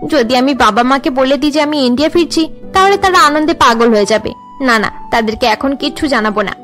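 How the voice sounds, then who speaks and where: A woman voicing a cheerful, affectionate young daughter in a cartoon, eager and expressive. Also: high-pitched, fairly quick, faint music behind. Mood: excited. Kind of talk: melodramatic cartoon dialogue.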